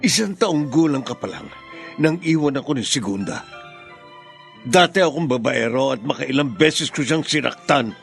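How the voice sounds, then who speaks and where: A man's voice: mid-range voice, lively intonation, normal speed, vocal fry, soft background music. A man acting a stern, guilt-ridden middle-aged father in an emphatic radio melodrama style. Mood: confessing, insistent. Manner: emphatic, dramatic delivery.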